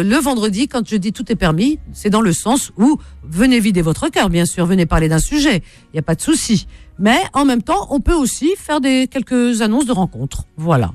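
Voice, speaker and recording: A woman's voice: medium pitch, darkish tone, no room sound. A woman hosting a radio call-in, chatting casually and familiarly, often repeating back what she is told. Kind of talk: casual live radio chat.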